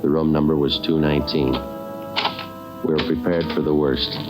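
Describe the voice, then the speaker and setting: An actor playing a stoic male police detective in a 1940s radio crime drama, speaking in a clipped, matter-of-fact deadpan. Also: a bass voice, normal speed. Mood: grim, flat narration. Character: stoic male police detective narrating his own case. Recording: vintage 1940s radio, music behind.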